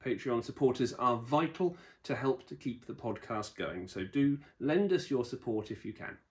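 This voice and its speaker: A man's voice: mid-range voice, lively intonation, moderate pace. A man hosting a podcast, interviewing a guest and addressing listeners. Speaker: podcast host.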